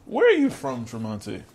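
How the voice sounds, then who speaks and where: A man hosting a podcast interview, speaking in drawn-out, emphatic phrases. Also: mid-range voice, slight background noise. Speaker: podcast host. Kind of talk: casual interview.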